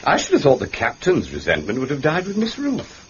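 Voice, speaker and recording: An actor with an English accent playing a courteous, wry gentleman detective in a radio drama, understated and politely hesitant. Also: a little high, wildly swooping pitch, a little fast, lo-fi sound, slight background noise. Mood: wry, mild. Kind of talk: British radio drama acting.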